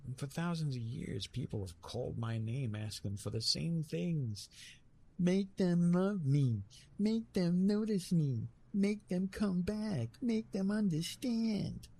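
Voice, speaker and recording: A man voicing a weary, wry retired god of love in an ASMR roleplay, with dramatic, halting delivery and repeated words. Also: on the high side, lively intonation, gravelly, dark tone, breathy edge, pitched above the performer's own voice, heavily acted. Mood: mock pleading.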